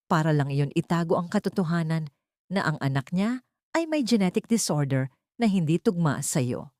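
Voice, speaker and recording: A cold young heiress confronts her betrayers, as a woman puts her on for an audiobook. The delivery is firm and scornful, lower-set than most women's voices, recorded dry and close. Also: expressive, normal speed, a little mellow, no echo, natural voice.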